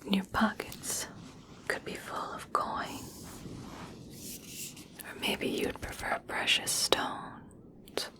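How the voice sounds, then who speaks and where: Female performer as regal elf princess for fantasy ASMR: whispered, unhurried, gracious and gently coaxing. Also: lightly acted. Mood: coaxing, veiled. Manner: soft, slow, soothing, formal. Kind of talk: whispered ASMR personal attention roleplay.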